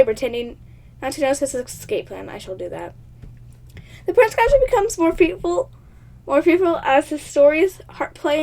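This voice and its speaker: Brief: high-voiced woman reading a game aloud, chatty and excitable, stumbles over words, laughs at herself. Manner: laughs often, talks at herself. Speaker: gaming channel host.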